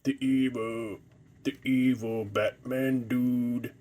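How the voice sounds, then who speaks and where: A man's voice: mid-range voice, little pitch movement, takes it slowly, warm tone, close to an everyday voice. A man voicing a blunt, boastful demon samurai creature in an improvised toy skit. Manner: blunt, brushes off questions.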